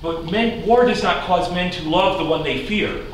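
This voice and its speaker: A man's voice: sits high, normal speed, voice pushed up, natural voice. Echoey, distant old wizard prophet, read by a man. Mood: solemn. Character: old male wizard prophet, solemn, fearless. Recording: roomy hall, distant mic, faint noise.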